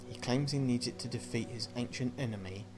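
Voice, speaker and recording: A man playing a video game, reading its text aloud and thinking out loud with casual asides. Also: mid-range voice, fairly quick, over music. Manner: thinking aloud between read passages. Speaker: video game player and commentator.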